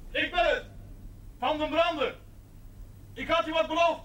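An actor playing a bold, easily offended village man, loud and blunt, in a Dutch period TV drama. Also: very high voice, fairly even intonation, a little slow, a little hiss or hum, full character voice. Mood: bluff.

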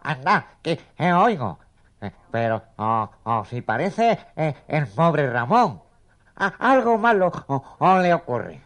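A man voicing a bumbling, nervous male cat who stammers haltingly in a children's audio story. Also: on the high side, big pitch swings, a bit bright, exaggerated voice. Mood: alarmed, halting.

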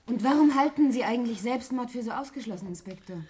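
A woman's voice: on the high side, lively intonation, fast talker, darkish tone, close to an everyday voice. Insistent, questioning woman acted in radio mystery. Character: questioning, quick-to-object stepdaughter of the murdered woman. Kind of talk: 1960s German radio play acting.

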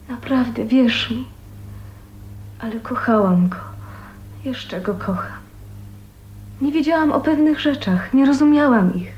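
Actress as soft, dark-voiced, sorrowful dying young woman. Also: on the high side, close to an everyday voice. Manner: hesitant, earnest, confiding. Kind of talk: 1980s radio drama acting. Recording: faint noise on radio recording.

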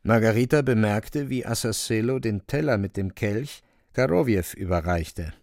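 An actor narrating a dark fantastic radio play as a grave, watchful storyteller. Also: male voice, fairly low pitch, normal speed, no echo, studio quality, lightly acted. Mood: hushed suspense.

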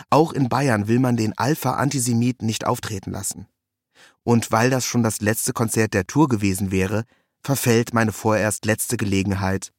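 A man's voice: mid-range voice, no room sound. Male narrator, literary first-person reportage, wry and ironic, very dry sound. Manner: wry, ironic delivery with acted quotes.

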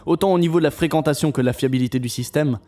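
A man's voice: on the high side, mellow, soft background music, natural voice. An actor playing a composed, courteous male game inventor answering a radio interview in formal phrasing, in an audio drama. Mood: composed, explanatory. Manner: polite, measured formal phrasing.

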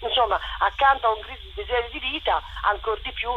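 A woman interviewed on radio as a theatre director, talking effusively in a halting, digressive storytelling way. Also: fairly high pitch. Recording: dull, noisy radio phone line.

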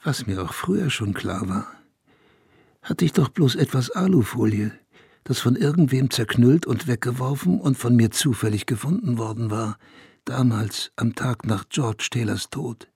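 An actor playing a wistful, bitter man in a first-person radio drama, speaking in a measured, intimate way. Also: medium pitch, expressive, darkish tone, husky, no echo, studio quality. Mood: brooding. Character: wistful man recalling a lost idol, brooding and bitter.

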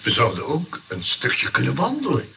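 A lively, coaxing, sly engineer. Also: male voice, mid-range voice, normal speed, heavily acted. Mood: placating. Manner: polite, coaxing, mock-innocent. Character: sly, placating engineer, politely persistent busybody. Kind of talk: Dutch radio play acting. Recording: distant mic, clearly noisy old recording.

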